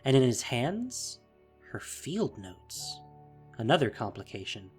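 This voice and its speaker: A man's voice: mid-range voice, very animated, slow, faint music behind. A man narrating a fantasy audio drama in a dramatic, scripted style.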